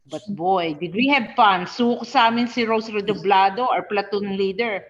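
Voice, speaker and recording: A woman's voice: mid-range voice, normal speed, natural voice. A woman with a Filipino accent playing a proud, mischievous alumna in an online table read. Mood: proud nostalgic. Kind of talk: table read of a reunion script over video call. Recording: dull video-call audio.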